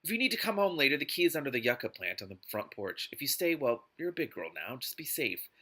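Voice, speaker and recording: A man's voice: mid-range voice, sing-song, quick pace, bright, forceful tone, husky, exaggerated voice. A man reading the part of a doting, pushy middle-aged mother from a novel. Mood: gentle.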